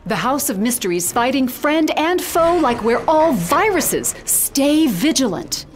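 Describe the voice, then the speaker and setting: An actress playing a confident, quick-witted young magician in a video game, with a theatrical, wry manner. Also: female voice, high-pitched, big pitch swings, faint music behind. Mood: urgent, focused. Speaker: game character actor.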